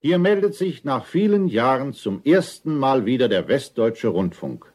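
Mellow, husky vintage radio announcer, male. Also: mid-range voice, big pitch swings, normal speed.

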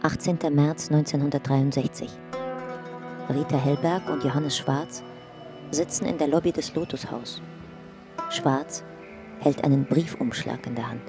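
Low-voiced woman narrating over music. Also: unhurried, long pauses. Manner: sparse, matter-of-fact. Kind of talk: scripted narration of photo captions.

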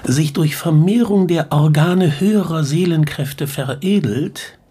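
An actor playing a learned professor of skull science, lecturing formally and pedantically in a German radio drama. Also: male voice, on the high side, measured pace, dark tone, slightly rough. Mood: pedantic, absorbed.

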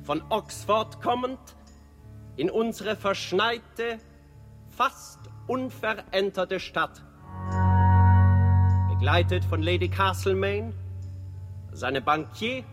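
High-pitched solemn herald, long reverb. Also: male voice, lively intonation, fairly bright tone, heavily acted. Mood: solemn proclaiming. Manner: declamatory, deliberate phrasing. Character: solemn proclaiming town herald announcing the king's return. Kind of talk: declamatory German radio play narration. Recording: long reverb, music behind.

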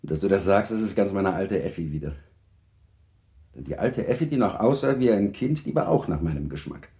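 Mellow, lively-toned baron husband. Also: male voice, low-pitched, brisk. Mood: affectionate, teasing. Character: older baron husband, courteous, affectionate, gently probing. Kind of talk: German radio play acting.

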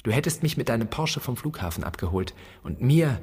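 A man's voice: mid-range voice, big pitch swings, a little fast, a little mellow. An actor playing a bitter grown son who broods and declaims against his absent father in a literary radio drama. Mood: bitter, accusing. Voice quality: husky. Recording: long reverberant hall.

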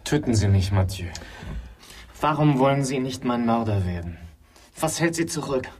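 An actor playing a wounded, dying youth who yearns for death, speaking in formal, intense poetic German radio drama diction. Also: male voice, mid-range voice, lively intonation, moderate pace, a little mellow, husky, recorded from a distance. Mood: bitter pleading.

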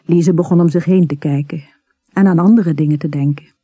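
A narrator reading a literary story in a measured, unhurried manner, with a husky, soft tone. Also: medium pitch, fairly even intonation, no echo, dull audio.

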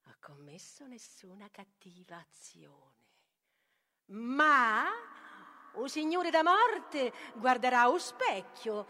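An actress playing an intense, brooding tragic woman in a poetic theatre reading, theatrical and swinging between hushed and forceful. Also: sits high, exaggerated voice. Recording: long hall reverb.

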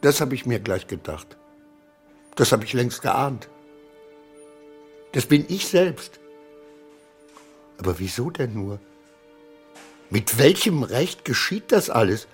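An actor playing an anxious, self-pitying clerk who mutters agitatedly to himself in a radio play, swinging between dread and defiance. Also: male voice, medium pitch, very animated, slow pace, long pauses, slightly rough, faint music behind, exaggerated voice. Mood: aghast, indignant. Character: anxious, self-pitying male clerk, brooding over his double.

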